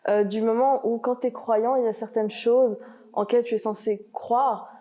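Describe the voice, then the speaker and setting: A woman's voice: a little high, fairly even intonation, normal speed, warm tone. A woman on a debate panel, laying out her view in a firm, reasoning way. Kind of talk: debate argument.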